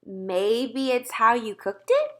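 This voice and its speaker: Woman playing young boy, skeptical, questioning, polite, lively pitch swings, children's storybook. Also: takes it slowly, heavily acted. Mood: politely skeptical. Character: skeptical, polite fourth-grade boy narrator. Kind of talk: children's storybook read-aloud.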